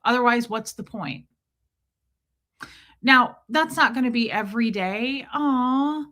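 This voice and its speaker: A woman's voice: slow pace, pushed and bright. A woman with an American Midwestern accent chatting candidly and casually with viewers as a livestream host. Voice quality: voice deeper than usual from lack of sleep.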